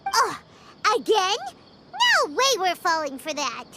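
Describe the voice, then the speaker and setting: A woman voicing a tiny childlike companion sprite in a video game, squeaky, blunt and excitable. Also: unhurried, heavy background noise, heavily acted. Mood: indignant, startled.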